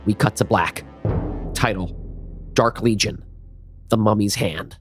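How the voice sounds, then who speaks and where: A man reading scripted screenplay narration for an audio drama, measured and ominous in his storytelling. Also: fairly low pitch, faint music behind.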